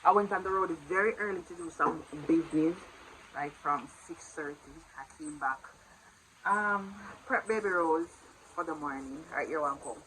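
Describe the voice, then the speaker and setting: A woman's voice: low-pitched, normal speed, slight background noise, rough recording. A young woman in her twenties with a Jamaican accent, talking casually to her viewers in a home vlog. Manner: chatty and warm with her viewers, swings loud when playing with her baby. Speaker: vlogger. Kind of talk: casual vlog talk to camera. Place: home in the morning, indoors.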